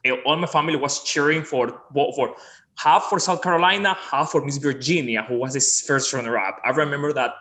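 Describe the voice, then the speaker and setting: A man's voice: on the high side, a little fast, pushed and bright. A man in his thirties from Ecuador, a guest on a video call chat, telling his story in a friendly, rambling way. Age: 30s.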